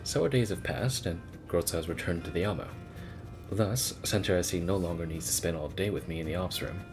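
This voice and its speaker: A man reading game story text aloud as expressive first-person narration. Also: sits low, fairly quick, over music. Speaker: video creator reading game dialogue aloud. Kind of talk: expressive read-along narration.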